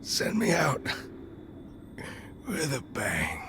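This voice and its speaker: A man playing a gruff, defiant veteran sergeant in a video game, speaking tersely and dryly. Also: fairly high pitch, wildly swooping pitch, very slow, background noise, exaggerated voice. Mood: weak, urgent. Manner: terse, dry.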